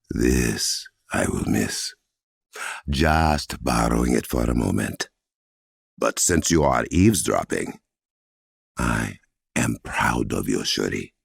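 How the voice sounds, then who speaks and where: An actor playing a regal, commanding warrior king in a video game, with an African accent, measured formal diction and a gravelly, husky voice. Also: extremely low pitch, sing-song, no room sound, studio quality, full character voice. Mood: tender, quiet. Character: regal, commanding warrior king, stern and proud, adult man.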